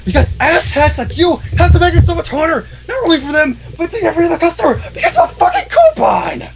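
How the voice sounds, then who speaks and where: A man voicing a frazzled female schoolteacher pony from a cartoon fanfiction, with an explosive temper and forceful outbursts. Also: big pitch swings, quick pace, vocal fry, full character voice. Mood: furious. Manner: bursts into high, forceful outbursts. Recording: noisy home livestream microphone.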